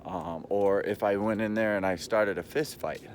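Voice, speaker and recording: A man giving a rambling, casual monologue as a vlogger, explaining in a halting way and checking in as he goes. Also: fairly low pitch, steady tone, a little hiss or hum.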